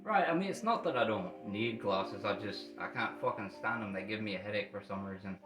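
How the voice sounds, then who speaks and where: A man's voice: lowish voice, animated. A man with a slight Irish accent chatting casually and profanely, often restarting his sentences. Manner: halting, many restarts, profane. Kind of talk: casual livestream chat. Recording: roomy echo with guitar music behind. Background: guitar music.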